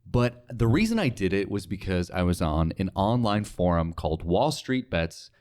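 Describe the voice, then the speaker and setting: A man's voice: low voice, sing-song, normal speed, darkish tone. A man with an American accent talking casually as an interviewer on a conversational show.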